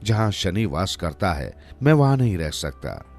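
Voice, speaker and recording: A man's voice: sits low, sing-song, measured pace, a little mellow, exaggerated voice. A man voicing solemn divine apparitions in a moral tale, declaring that they are leaving. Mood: solemn, aggrieved. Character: solemn divine apparitions, departing goddess and virtues. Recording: music under the voice.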